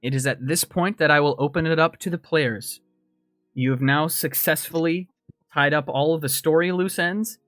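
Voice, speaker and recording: A man's voice: fairly high pitch, moderate pace, fairly bright tone, dry recording, faint music behind. A man narrating a tabletop game as game master, describing scenes in a conversational, improvised way.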